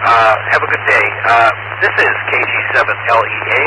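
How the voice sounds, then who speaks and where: A man acting as amateur radio net controller, taking check-ins and chatting in a halting way over two-way radio. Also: mid-range voice. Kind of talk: radio net check-in procedure with casual chat. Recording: noisy amateur radio transmission.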